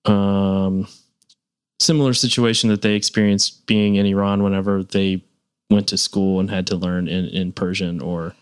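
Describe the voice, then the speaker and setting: Male host, lowish and smooth, encouraging, nods along out loud. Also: little pitch movement, moderate pace. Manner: encouraging, frequent back-channel agreement. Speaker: podcast host. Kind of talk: casual interview conversation.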